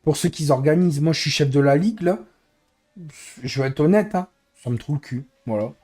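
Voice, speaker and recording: Hoarse man, game streamer, grumbling, exasperated, somewhat high voice, dark mellow tone. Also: expressive, fairly quick. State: hoarse, losing his voice. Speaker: streamer and racing league head. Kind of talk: live racing game stream commentary.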